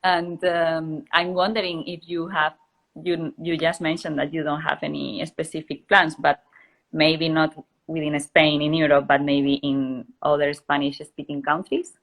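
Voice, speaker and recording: A woman's voice: deep voice, level tone, a little slow, fairly bright tone. A woman hosting an interview, asking questions and encouraging her guests with frequent short replies. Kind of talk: casual interview talk.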